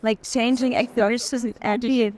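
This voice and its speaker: A woman's voice: on the high side, steady tone, moderate pace, darkish tone. Effusively welcoming woman host. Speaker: curator hosting a tour. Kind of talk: hosted walking conversation.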